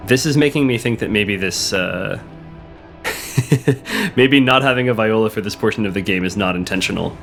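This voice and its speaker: A man with a cold, hoarse and a little sniffly, chatting casually while playing a video game, thinking aloud and reading on-screen text. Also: lowish voice, moderate pace, long pauses, fairly bright tone. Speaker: let's play host. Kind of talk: casual gameplay commentary. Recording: game music playing behind the voice.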